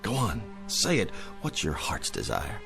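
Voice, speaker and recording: A kindly old street Santa in a radio drama. His low voice rises and falls freely, gentle, folksy and a little tired, over a clear bed of music. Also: huge pitch swings, unhurried, heavily acted. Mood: jolly. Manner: gentle, avuncular, folksy, musing. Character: kindly, weary old street Santa Claus, a big-hearted man.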